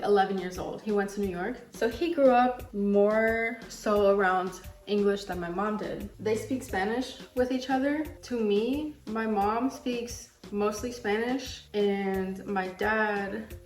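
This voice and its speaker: A woman's voice: medium pitch, a little slow, hardly any pauses. Chatty woman in an echoey kitchen. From United States. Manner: chatty and rambling, talks while cooking. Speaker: cooking vlogger. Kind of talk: casual cooking vlog chat. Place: home kitchen. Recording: echoey kitchen, distant mic, soft music.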